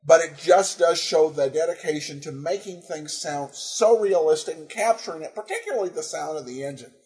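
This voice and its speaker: A man with a high voice hosts a podcast. He gives informal commentary in a halting way, often searching for his words. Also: a little mellow. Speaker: podcast host.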